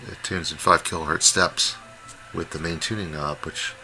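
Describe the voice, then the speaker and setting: A man giving a casual, unscripted demonstration as a hobbyist presenter, talking in a halting, musing way. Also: rumbling low, fairly even intonation, pushed and bright, faint music behind, poor audio. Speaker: radio hobbyist presenting a comparison. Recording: faint background noise.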